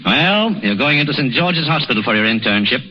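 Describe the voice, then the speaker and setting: Actor as fatherly senior doctor, very animated, old radio. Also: medium pitch, a little fast, lightly acted. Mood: warm, fatherly. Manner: paternal, encouraging, formal. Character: genial, fatherly senior hospital doctor, mentor to a younger man. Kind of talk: 1930s radio drama acting. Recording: dull, noisy 1930s radio recording.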